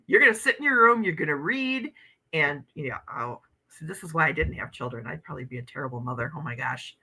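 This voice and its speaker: A woman's voice: low voice, animated, fast talker, pushed and bright, husky, rough recording. A woman in her fifties hosting a casual livestream, chatting and laughing as she reads out viewer comments. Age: 50s. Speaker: livestream host.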